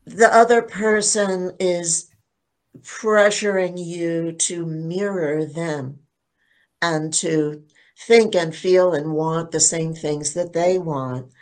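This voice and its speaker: An older woman speaking as a panelist in a casual online discussion, slow and thoughtful, often restarting her sentences. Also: lowish voice, steady tone, darkish tone. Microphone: video call.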